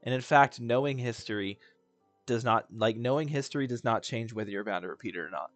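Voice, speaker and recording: A man's voice: mid-range voice, brisk, no echo, soft background music. A man doing casual, opinionated reaction commentary as a streamer, talking in a slangy, rambling way.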